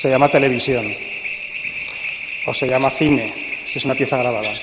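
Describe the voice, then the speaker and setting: A man with an accent from Spain gives a live, interactive talk to an audience, with measured, playfully theatrical phrasing. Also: mid-range voice, steady tone. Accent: Spanish from Spain. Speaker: speaker and coordinator at a radio drama congress. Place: large indoor congress hall. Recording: dull, echoey hall recording with faint noise.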